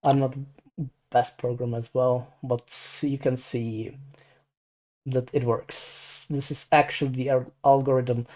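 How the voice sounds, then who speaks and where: Man, Czech accent, mellow relaxed timbre, mid pitch, halting rambling delivery, repeated words, informal amateur explainer. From Czech Republic. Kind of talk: casual unscripted screen tutorial.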